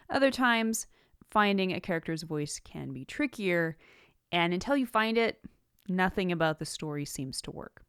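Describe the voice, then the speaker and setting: A woman with a slightly low voice presents an instructional podcast from a script. She phrases things deliberately and leans on key words for emphasis. The sound is dry, with very little room in it. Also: clean tone, dry recording. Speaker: podcast host, writing teacher.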